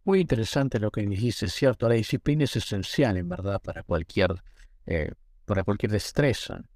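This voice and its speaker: A man's voice: on the low side, normal speed, darkish tone, no echo. Friendly male interviewer, dry sound. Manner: friendly, affirming, asks questions. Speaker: interviewer host. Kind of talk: casual interview.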